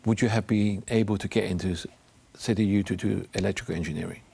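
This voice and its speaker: A man's voice: lowish voice, fairly even intonation, fast talker, gravelly, mellow, husky. A man hosting a television talk show, presenting and putting questions to guests in a studio interview.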